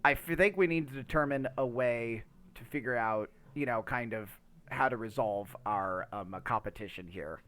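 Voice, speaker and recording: A man's voice: medium pitch, normal speed, fairly bright tone. A man co-hosting a podcast in casual, rambling chat, emphatic and swearing freely as he gives his opinions. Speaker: podcast co-host.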